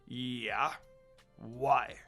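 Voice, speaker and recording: A man's voice: mid-range voice, steady tone, extremely slow, soft background music, voice pushed down, heavily acted. A man voicing a brash, hot-tempered young wolf-demon man in a story reading. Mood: gruff. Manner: brash and shouting.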